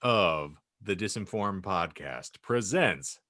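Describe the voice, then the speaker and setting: A man's voice: sits low, animated, takes it slowly, pushed and bright, dry recording. A male podcast host whose voice leaps around as he jokes and puns. It sounds dry and close to the mic. He keeps a hammy, playful tone between bits. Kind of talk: comic podcast banter and reading.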